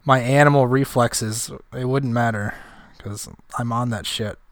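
Brief: deadpan co-host, man, dry close sound, feeling a bit ill. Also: medium pitch, unhurried, smooth, no room sound. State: feeling under the weather. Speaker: podcast co-host. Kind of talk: casual chat.

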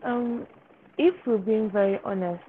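An eighteen-year-old woman, a guest speaking haltingly as she searches for words. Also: medium pitch, a little hiss or hum. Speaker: podcast guest. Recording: tinny phone-like sound.

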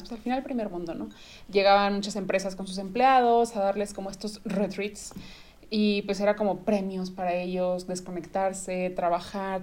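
A young woman with a Mexican accent, a podcast guest telling stories in an animated, casual way with lots of slang, in a studio. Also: medium pitch. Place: studio in front of a camera and light.

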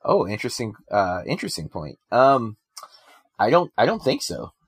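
A man co-hosting a casual film podcast, relaxed and conversational, with frequent ums and you-knows. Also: medium pitch, normal speed, breathy edge, no echo, clean studio sound. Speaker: podcast co-host.

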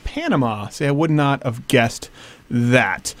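Animated joking male podcast host. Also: medium pitch. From Canada. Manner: joking, animated, quick asides. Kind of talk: comedic talk show chat.

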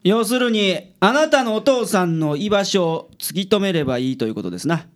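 A man playing a gruff, self-important detective with a Kansai accent in a radio drama. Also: high voice, lively intonation, fairly quick. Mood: confident. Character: gruff, self-important middle-aged male private detective.

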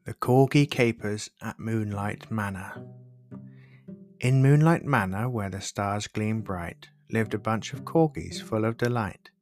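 Male bedtime storyteller over soft music. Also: lowish voice, unhurried. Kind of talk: scripted bedtime story narration.

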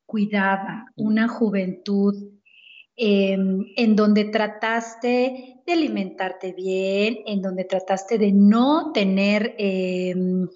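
A woman hosting a casual talk about health, easygoing and quick with agreeing murmurs. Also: medium pitch, darkish tone. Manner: conversational, laughing asides, frequent "ujú" backchannels. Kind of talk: casual wellness chat. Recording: dull lo-fi audio.

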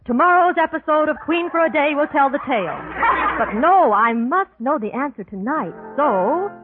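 A woman's voice: high-pitched, lively intonation, normal speed, a loud crowd. A woman playing a jealous, wronged sweetheart with mock-tragic delivery in a 1940s radio comedy. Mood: determined. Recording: tinny old radio recording over music.

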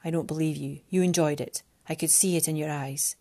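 A woman voicing a proud, cold winged man who is about to rule, speaking low and clipped. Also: fairly even intonation, brisk, mellow. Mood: stern.

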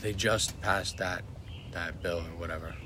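A man with a low voice rambles through a loose podcast chat, swearing and circling back over his own sentences. A steady hiss of background noise runs under him. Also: unhurried. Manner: rambling, profane, restarts often. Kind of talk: casual chat.

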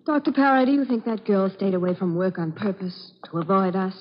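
An actress playing an earnest, determined American nurse with a professional, reassuring manner in a 1940s radio drama. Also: female voice, medium pitch, fairly even intonation, brisk, a little hiss or hum, lightly acted. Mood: anxious, puzzled. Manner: earnest, clearly delivered. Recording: dull old radio broadcast.

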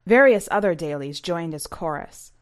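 A woman's voice: lowish voice, animated, moderate pace. A woman hosting a fiction podcast, speaking in a scripted, read-aloud presenting and narrating style. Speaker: podcast host and story reader.

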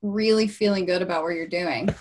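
A woman hosting a casual interview podcast, friendly and quick to laugh along. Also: lowish voice. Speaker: podcast host.

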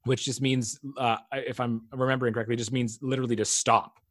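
A man in his early thirties chats casually and reflectively, leaning on fillers as he thinks aloud. His pitch sits in an ordinary middle range. The sound is close and dry. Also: little pitch movement, fast, no room sound. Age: 30s. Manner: reflective, faith-minded, many fillers. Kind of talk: casual chat.

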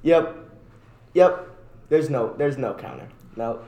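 A man's voice: medium pitch, expressive, takes it slowly, warm tone. A young man co-hosting a podcast, debating friends in a slangy, argumentative way with some swearing. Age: young adult. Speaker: podcast co-host. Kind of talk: casual debate among friends. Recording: roomy echo with background noise.

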